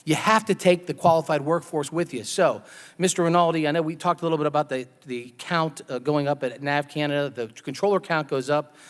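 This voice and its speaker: A man's voice: fairly high pitch, steady tone, a little fast. An American man chairing a legislative hearing, speaking off the cuff and stressing his points emphatically. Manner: emphatic, repeats words for stress. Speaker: committee chairman. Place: large indoor hearing room. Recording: reverberant hearing room.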